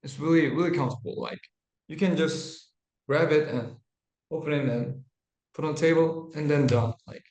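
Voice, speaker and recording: A young adult man with a Korean accent, a singer and guest answering interview questions in halting English with short replies. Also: a little high, darkish tone, roomy sound, low-quality recording. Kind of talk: casual interview chat. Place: radio studio.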